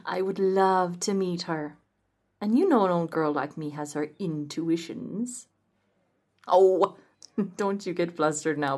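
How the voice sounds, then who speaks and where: A man's voice: sits high, a little mellow, exaggerated voice. A man voicing a kindly, shrewd older café owner with a British accent who calls everyone love and dear. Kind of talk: audio roleplay acting.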